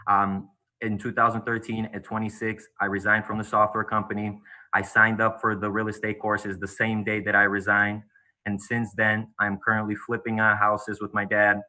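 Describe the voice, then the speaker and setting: A man's voice: on the low side, rough recording. A man in his thirties from Bulgaria, giving a formal, rehearsed speech as a guest speaker over a video call. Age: 30s. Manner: formal, steady, rehearsed delivery. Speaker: real estate agent and guest speaker.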